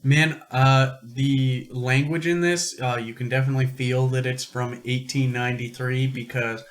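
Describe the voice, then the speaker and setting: A man's voice: mid-range voice, fairly even intonation, normal speed. A man co-hosting a podcast with casual, wry, joking banter. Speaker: podcast co-host.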